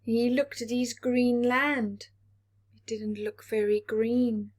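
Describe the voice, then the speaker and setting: A woman reading a story aloud to young children as a storyteller, expressively, pausing to explain and ask questions. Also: a little high. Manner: expressive, asks the listeners questions.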